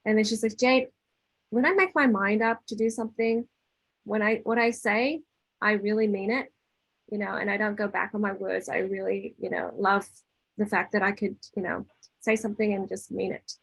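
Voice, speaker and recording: A woman's voice: medium pitch, fairly even intonation, normal speed, creaky, a bit bright, slightly rough, no room sound, poor audio. Woman giving a casual, dry-sounding inspirational talk. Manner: conversational, acts out dialogue, often checks in with listeners. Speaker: speaker leading a spiritual talk.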